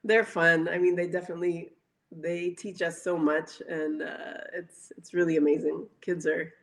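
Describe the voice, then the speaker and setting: A woman speaking as an interview guest in casual chat, pausing often and letting phrases trail off. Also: on the low side, level tone, vocal fry.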